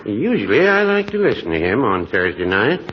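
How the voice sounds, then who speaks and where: Mild older small-town druggist, lowish, dry and hesitant, vintage radio comedy, dull-sounding recording. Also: male voice, huge pitch swings, normal speed. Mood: mild, folksy. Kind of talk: 1940s radio comedy acting. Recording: hissy, muffled 1940s radio recording.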